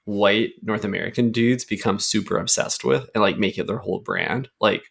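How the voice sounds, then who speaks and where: A man's voice: on the low side, normal speed, gravelly, a bit bright, breathy edge. A young man co-hosting a podcast, talking in a jokey, rambling way and laughing often. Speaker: podcast co-host. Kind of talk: casual comedic chat.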